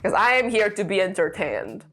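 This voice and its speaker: A woman's voice: mid-range voice, very animated, moderate pace, a bit bright, faint music behind. Chatty young woman, Lithuanian native speaker. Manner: chatty, laughs often, many fillers. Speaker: vlogger, aspiring author. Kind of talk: casual chat answering tag questions. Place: room with a creaky chair. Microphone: desk mic.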